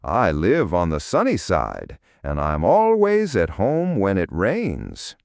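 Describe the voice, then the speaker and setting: A man plays a living shadow who has become a pompous gentleman in a storybook tale. He boasts and condescends with hugely animated pitch, lingering over his words in a very dry, close recording. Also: slow pace, gravelly, no echo, exaggerated voice. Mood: smug, boastful. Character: smug, self-important living shadow turned wealthy gentleman.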